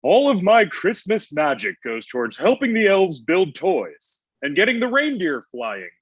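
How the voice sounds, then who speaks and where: A man's voice: high voice, huge pitch swings, measured pace, husky, muffled recording, full character voice. A man playing a grumpy, ancient Santa Claus in a comedy table read, with a blunt, testy, conversational manner. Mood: stern.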